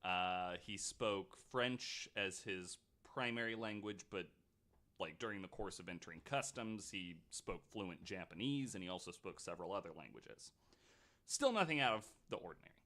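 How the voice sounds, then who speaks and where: A man's voice: sits low, lively intonation, moderate pace, fairly bright tone. A man hosting a podcast, telling a story in a loose, chatty way with plenty of likes and the odd mild swear. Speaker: podcast host. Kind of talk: casual storytelling chat.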